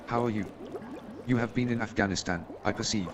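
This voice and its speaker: A man playing an eager, brisk, self-absorbed scientist in a humorous radio play, emphatic and enthusiastic. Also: fairly low pitch, close to an everyday voice. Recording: loud noise and crowd sound.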